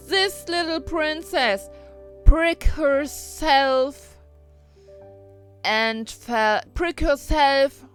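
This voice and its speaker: A woman's voice: high-pitched, smooth, pitched above the performer's own voice. A woman voicing a spiteful evil fairy in a told fairy tale, speaking emphatically and theatrically, drawing words out. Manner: emphatic, drawn-out, theatrical delivery. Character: spiteful evil fairy cursing a baby princess.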